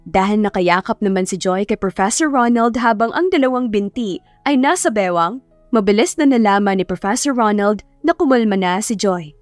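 A woman's voice: mid-range voice, moderate pace, no echo. Woman narrating, dry recording over music. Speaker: audiobook narrator. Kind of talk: scripted story narration. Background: background music.

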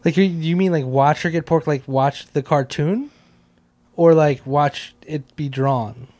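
A man in his thirties talks casually and jokily as a podcast host. His voice sits a little high for a man, and it is recorded close on a handheld mic with almost no room sound. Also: unhurried, a little mellow, dry recording. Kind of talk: casual comic chat. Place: small indoor podcast room, on a couch. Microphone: handheld microphone held close.

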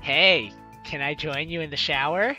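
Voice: mid-range voice, measured pace, sharp tone, muffled recording. A let's play host reading visual novel lines aloud and reacting in an exclaiming, giggly way. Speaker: gaming video host. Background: game music.

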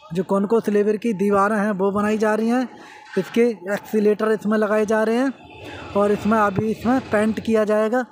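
Guy with a high flat voice walking a site, talking to viewers like friends, street noise around. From India. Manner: conversational, addressing viewers as friends. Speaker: vlogger. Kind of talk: casual walkthrough narration. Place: outdoors at a construction site.

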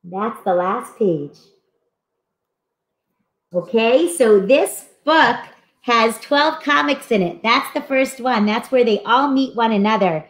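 A young woman presenting a children's read-aloud in an expressive, explanatory way. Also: on the high side, slow pace. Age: young adult. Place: small room at home, indoors. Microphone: webcam video call mic.

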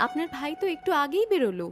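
Woman as kind cartoon housewife, high voice, swooping intonation, polite and hospitable. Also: big pitch swings, racing, music in the background. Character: kind, dutiful, hospitable village sister-in-law. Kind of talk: cartoon dialogue, moral story.

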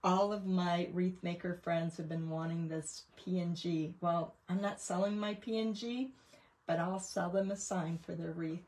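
A woman's voice: lowish voice, steady tone, a little slow, darkish tone, poor audio. A woman chatting casually as she hosts a craft livestream, rambling through a show-and-tell of her painting work. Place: indoors at a craft table.

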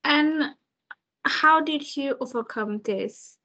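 A young woman interviewing over a video call, asking questions hesitantly with many pauses. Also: high-pitched, bright, forceful tone, lo-fi sound. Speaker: students' union officer interviewing. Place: room with large window.

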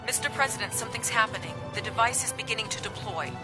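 An actress playing a young, composed military officer, giving a serious, clipped game briefing. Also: female voice, fairly high pitch, expressive, quick pace, over loud music, natural voice. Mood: tense.

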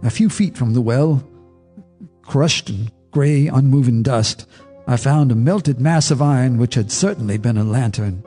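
An actor as a haunted neighbor recounting a horror, his voice mid-pitched and grave, the telling measured and deliberate. Faint music hums beneath him in the radio play. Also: mellow, lightly acted. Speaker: narrator. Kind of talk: radio drama narration.

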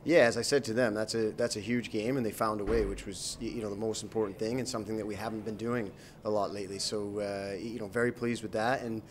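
A man in his thirties, a hockey coach, answering post-game questions in a measured way, with many fillers and restarts, into a handheld mic. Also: fairly low pitch, fairly even intonation, slight background noise. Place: arena interview backdrop, indoors. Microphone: handheld mic held by interviewer.

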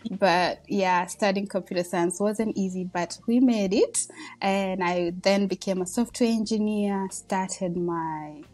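A woman's voice: mid-range voice, a little slow, soft background music. A woman in her thirties with a Kenyan accent, a podcast guest talking casually and humbly about her life, with many fillers. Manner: warm, humble, chatty with frequent fillers and laughs. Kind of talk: casual interview storytelling.

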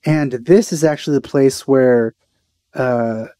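A man's voice: medium pitch, slow pace, dark tone, no room sound. A man giving casual commentary as he plays a video game. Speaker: let's player. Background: game music.

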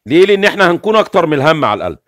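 A man's voice: a little high, racing, dry recording, clean studio sound. A man in his forties with an Egyptian Arabic accent, hosting a livestream from a small room and explaining things insistently, waving his hands as he talks. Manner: animated, gestures constantly, explains insistently. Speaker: livestream host. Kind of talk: casual livestream talk. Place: small room with curtains, indoors.

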